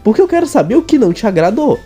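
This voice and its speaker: A man's voice: very high-pitched, sing-song, rapid-fire, warm tone, husky, soft background music. A young Brazilian man chatting casually to his viewers in an earnest, informal way. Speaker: vlogger and amateur writer.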